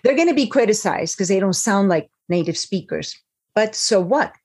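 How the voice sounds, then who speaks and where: A woman's voice: medium pitch, animated, normal speed, a little mellow, husky. A woman, a professor, answering panel questions in a direct, emphatic way over a video call.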